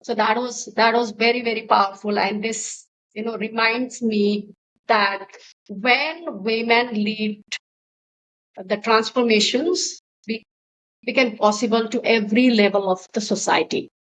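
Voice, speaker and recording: Nepali-accented woman talking slow, lots of ums, bit high voice, faint hiss. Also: fairly even intonation, a bit bright, poor audio. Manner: hesitant, many fillers, searching for words. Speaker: guest, social entrepreneur. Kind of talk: interview answers.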